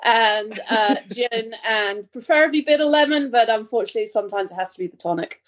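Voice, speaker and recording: A woman's voice: on the high side, expressive, a little fast. A woman from England, chatting with giggles.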